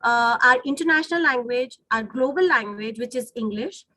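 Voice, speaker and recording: A woman's voice: fairly high pitch, expressive, normal speed, pushed and bright, no echo. A woman with an Indian accent, a native Hindi speaker, teaching an online lesson in a didactic way, rephrasing points and checking in with her listeners. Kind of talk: online lesson, explaining to students. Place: online video call.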